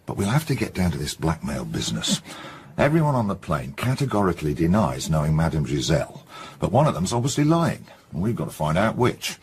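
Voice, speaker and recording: Gruff English chief inspector, very low voice, blunt, colloquial, radio drama, audible background noise. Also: male voice, very animated, darkish tone. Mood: businesslike. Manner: blunt, colloquial, brisk summing-up. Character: gruff, no-nonsense English police chief inspector, middle-aged man. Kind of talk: radio detective drama acting.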